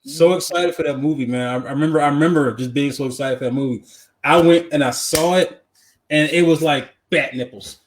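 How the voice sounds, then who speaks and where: A man co-hosting a podcast in casual movie chat, animated and emphatic, often repeating himself for effect. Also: a little high, a little fast, a little hiss or hum, rough recording. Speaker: podcast co-host.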